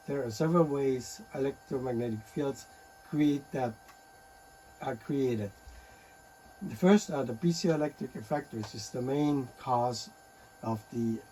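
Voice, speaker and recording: A man presenting a technical talk on radio and earthquakes, pausing often with fillers and restarts. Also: mid-range voice, warm tone, a little hiss or hum, low-quality recording. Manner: halting, many fillers and restarts.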